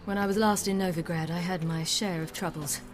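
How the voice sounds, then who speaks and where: A woman's voice: fairly low pitch, steady tone, brisk, background noise, close to an everyday voice. An actress playing a resolute young woman warrior bent on payback in a fantasy video game.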